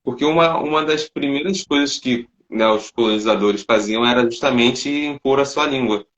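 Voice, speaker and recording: Man, Brazilian, host, mid pitch, reflective, slightly dull sound. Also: moderate pace, fairly bright tone. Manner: conversational, reflective. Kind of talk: interview podcast.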